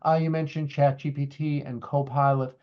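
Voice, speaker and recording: A man's voice: on the high side, flat delivery, moderate pace. Boston-accented man leading a webinar. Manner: conversational, many fillers and restarts. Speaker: webinar presenter. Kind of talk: webinar talk with slides. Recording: dull lo-fi webinar audio.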